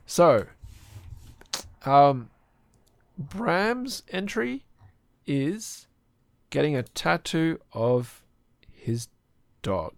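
A hoarse man co-hosting a podcast, chatting casually and rambling with fillers. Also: on the high side, sing-song, drawn-out words, long pauses, no room sound. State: hoarse, sore throat. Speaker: podcast co-host.

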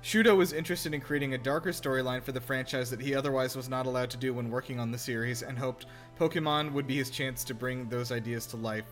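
A man's voice: mid-range voice, fairly even intonation, quick pace, hardly any pauses, fairly bright tone, faint music behind. A man hosting a casual film reaction chat with wry, teasing banter. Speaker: podcast host.

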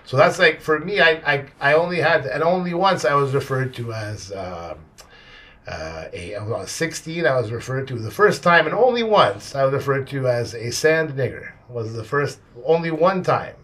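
A man in his forties from Canada, hosting a podcast and telling stories in an animated, casual way, with some swearing. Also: medium pitch, moderate pace. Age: 40s. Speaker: podcast host.

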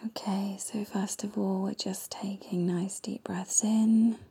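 A woman leads a guided meditation in a soft, half-whispered voice, unhurried and soothing. Her tone is warm and mellow, with a gravelly, breathy texture. She keeps her pitch level and even as she talks the listener through each step. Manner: gentle, slow and soothing instructions.

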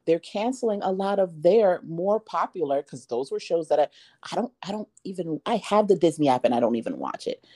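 A woman's voice: lowish voice, expressive, fairly quick, mellow. A woman in her thirties co-hosting a casual talk podcast, making her points emphatically with rhetorical questions. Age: 30s. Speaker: podcast co-host. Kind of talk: casual chat.

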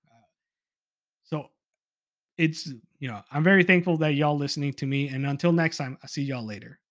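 Male podcast host, high register, informal and exasperated, off-the-cuff opinion commentary. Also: moderate pace, fairly bright tone. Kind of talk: casual solo opinion commentary, reading aloud from an article.

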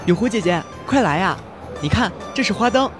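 A woman playing an eager, innocent and polite young boy in a fantasy audio drama, earnest and lively. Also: medium pitch, fairly quick, music in the background, lightly acted. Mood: excited, coaxing.

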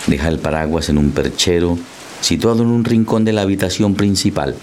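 A man's voice: low-pitched, brisk, background noise. A man narrating a radio drama as a scripted storyteller, describing the scene. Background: loud music.

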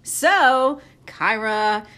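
A woman's voice: a little high, animated, extremely slow, pushed and bright. A woman hosting a casual chat show, enthusiastic and gushing, answering with lots of "wow" and "awesome.". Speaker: host.

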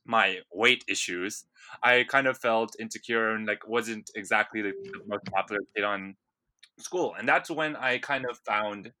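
A young man from India, whose first language is Punjabi, hosting a casual podcast and talking in a relaxed, rambling way full of fillers. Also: lowish voice, a little slow, no echo, poor audio. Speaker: podcast host.

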